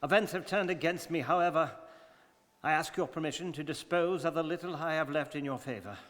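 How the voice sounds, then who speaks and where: A man playing a reserved, precise English gentleman in a radio drama, speaking formally and with stoic restraint. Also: fairly high pitch, a little fast, lightly acted. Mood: grave, resigned. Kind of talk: stage radio drama acting. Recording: very reverberant studio hall.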